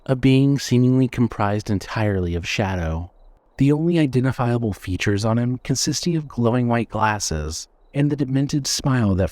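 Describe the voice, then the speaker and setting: A male narrator reads scripted dark fiction with drama. His voice is of average pitch, and the recording is dry, with no room sound. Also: no echo.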